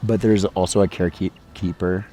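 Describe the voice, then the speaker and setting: A man losing his voice to a cold, chatting in a relaxed, meditative way as he sketches outdoors beside a hot spring. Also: sits low, moderate pace, a little hiss or hum. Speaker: art vlogger. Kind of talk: casual travel vlog narration. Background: faint local music.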